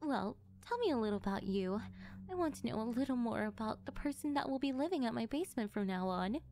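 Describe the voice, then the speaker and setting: A woman playing a sweet, doting middle-aged mother who is a calmly menacing kidnapper, speaking in a sugary, unhurried ASMR roleplay style. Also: on the high side. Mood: sweet, chatty. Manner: sugary, unhurried, calm about disturbing things.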